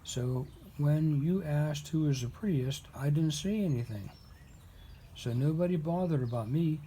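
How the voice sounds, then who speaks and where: A man's voice: on the high side, unhurried, dark tone, slight background noise, natural voice. A man reading as a humble, homely little storybook kitten, modest and plain-spoken.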